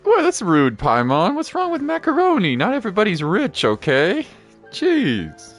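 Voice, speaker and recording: A woman's voice: mid-range voice, huge pitch swings, normal speed, faint music behind. A woman giving casual live gameplay commentary as a streamer, rambling and thinking aloud. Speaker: game streamer.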